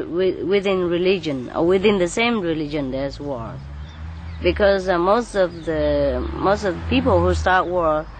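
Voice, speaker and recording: A woman from Vietnam, answering interview questions as a spiritual teacher in an earnest, unhurried way, with soft laughs and filler pauses. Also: fairly low pitch, slight background noise.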